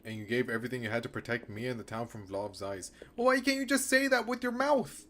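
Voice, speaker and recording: A man's voice: mid-range voice, sing-song, fast talker, a bit bright. A man is live-streaming a game with some noise behind him. He reads text aloud in a mid-range voice and breaks off to react, restarting phrases as he thinks aloud.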